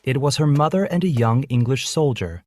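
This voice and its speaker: A man's voice: medium pitch, lively intonation, moderate pace, darkish tone. A man reading a scripted children's story as its narrator.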